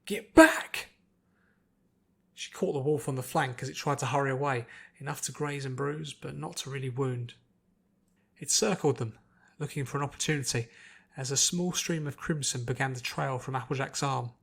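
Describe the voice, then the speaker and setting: Male narrator, mid pitch, scripted story reading, audiobook style. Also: steady tone, fairly quick, gravelly, fairly bright tone, husky. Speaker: narrator, podfic reader.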